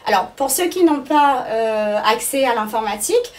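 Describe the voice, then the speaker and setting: A woman's voice: a little high, expressive, moderate pace, darkish tone, recorded from a distance. A woman answering interview questions as the director of an arts school, explaining things phrase by phrase with hesitations.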